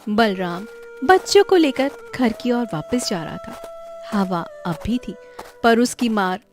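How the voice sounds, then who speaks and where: A woman's voice: fairly high pitch, lively intonation, takes it slowly, music in the background. A woman giving scripted, dramatic narration of a moral story.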